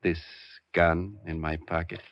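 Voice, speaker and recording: An actor playing a sly, menacing escaped bank robber in an old radio crime drama, talking tough and slangy. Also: male voice, low-pitched, steady tone, a little slow. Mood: cool menace. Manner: tough slangy talk, coolly threatening. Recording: muffled vintage radio recording.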